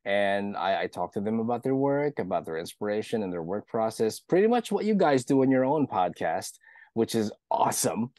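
A man with a slightly croaky voice, guest hosting a podcast in an upbeat, chatty way. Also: on the low side, very animated, normal speed, a little mellow, no room sound, studio quality. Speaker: guest host, author. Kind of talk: casual podcast chat.

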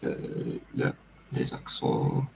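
A man from Yorkshire, a native English speaker, chatting slowly and haltingly as he searches for words. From England, Yorkshire. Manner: slow and halting, searching for words, many pauses. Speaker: podcast co-host. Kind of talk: casual chat in a second language. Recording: dull lo-fi audio with faint noise.

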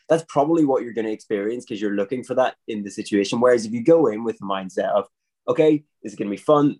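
A man with a somewhat low voice chats casually in a podcast. He talks in long, loose runs of thought, quick to laugh and lively in tone. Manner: animated, chatty, laughs often. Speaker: magician.

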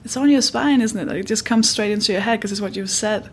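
A woman's voice: medium pitch, fast talker, a little hiss or hum. A woman from Denmark with a Danish accent, speaking English as a second language, hosting a podcast interview in a chatty, encouraging way. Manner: chatty, encouraging, warm laughs. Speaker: podcast host. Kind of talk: conversational interview.

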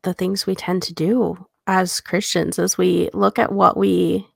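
A woman's voice: lowish voice, level tone, measured pace, gravelly, dry recording, clean studio sound. A woman co-hosting a podcast, talking through a book in a casual, reflective, conversational way. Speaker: podcast co-host.

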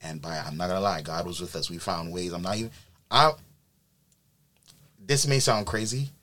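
New york guy with a really low voice, laid back, lots of um and like, just chatting on a podcast. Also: normal speed. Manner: relaxed storytelling with many fillers. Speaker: event planner guest. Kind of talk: casual podcast chat.

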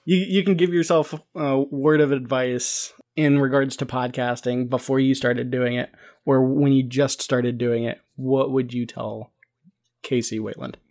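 A man hosting a casual podcast interview, speaking haltingly and pausing partway through his questions. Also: medium pitch, steady tone, darkish tone.

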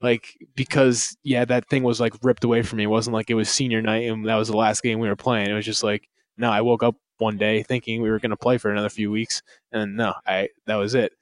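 A man hosting a casual podcast interview, talking in a relaxed, filler-heavy way. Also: lowish voice, brisk, no room sound. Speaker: interviewer host.

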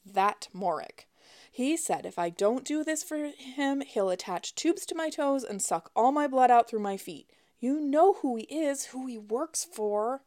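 Audiobook role: female reader as girlish young woman, high and over-emphatic, pleading her way through a phone con. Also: animated, moderate pace, darkish tone, no room sound, studio quality, natural voice. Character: young woman faking gushing, high-pitched panic on a call.

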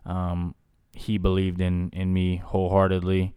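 A man in his twenties with a deep baritone voice, a guest chatting casually in an interview, rambling with many fillers. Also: monotone, measured pace, dark tone, smooth, no echo, studio quality. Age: 20s. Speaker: guest, recording artist.

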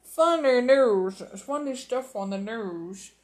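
A woman's voice: medium pitch, big pitch swings, takes it slowly. A woman reading aloud, voicing a stuffy middle-aged husband who mumbles and hesitates nervously.

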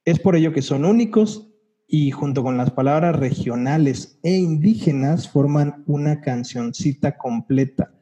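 A man from Mexico City with a Mexico City accent, co-hosting a casual chat and explaining things in a relaxed way. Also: fairly high pitch, unhurried, highs cut off. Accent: Mexico City Spanish. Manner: explaining, relaxed, asks questions. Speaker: podcast co-host.

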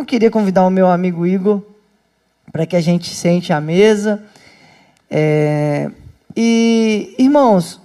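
A pastor talks to a small church gathering in a loose, animated way, often turning to the people in the room. His voice has a mellow, soft-edged tone. It sounds like an indoor meeting rather than a studio. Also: on the high side, creaky. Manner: lively, chatty, addresses listeners as brothers and sisters. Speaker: pastor and host. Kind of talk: casual talk to a small church group.

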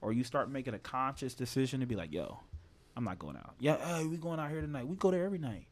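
A man's voice: mid-range voice, big pitch swings, fast. Guy in his 20s just chatting, curses a lot, super casual. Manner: loose and candid, swears freely, lots of "you know what I mean". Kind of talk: casual chat.

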